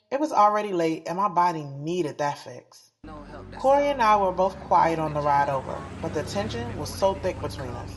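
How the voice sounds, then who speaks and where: A woman's voice: fairly low pitch, natural voice. A woman playing a frank, sassy young woman in an audio drama, candid and slangy as she tells of her love life. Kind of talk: first-person audio drama narration and dialogue.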